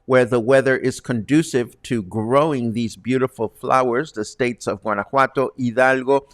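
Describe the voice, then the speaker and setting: A man's voice: medium pitch, steady tone, moderate pace, no echo, studio quality. A man presenting as a show host in a chatty, conversational way with wry asides. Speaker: host presenting news and interviews.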